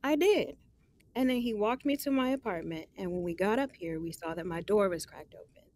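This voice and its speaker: An actress playing a sweet but gently stubborn young woman in an audio drama, with an expressive manner. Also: mid-range voice, fairly quick, lightly acted. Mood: recounting.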